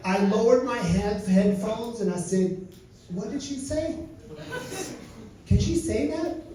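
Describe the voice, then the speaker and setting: A man's voice: sits high, slow, warm tone, far from the mic. A man, doing a stand-up set with dry, wry storytelling. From Turkey. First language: Turkish. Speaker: stand-up comedian. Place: comedy lounge stage. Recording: echoey lounge, mic from afar.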